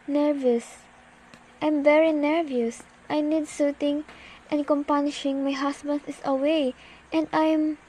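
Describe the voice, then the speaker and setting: A woman's voice: high-pitched, fairly even intonation, warm tone, low-quality recording. A young woman acting a nervous, demanding bedridden woman alone at home in an amateur radio play. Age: teenager. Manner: imperious, nervous, halting delivery.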